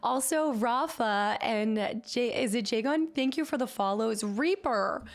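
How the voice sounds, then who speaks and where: Chatty high-voiced woman, slightly hoarse. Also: measured pace. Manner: chatty and upbeat, rattles off viewer names. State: hoarse, recovering from laryngitis. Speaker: streamer greeting chat. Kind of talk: casual live-stream chat. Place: her apartment. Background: faint background music.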